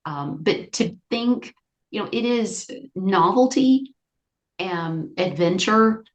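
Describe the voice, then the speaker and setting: A woman clinical psychologist, a guest on a podcast, explaining her ideas in a lively, conversational way. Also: medium pitch, slow. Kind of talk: conversational interview.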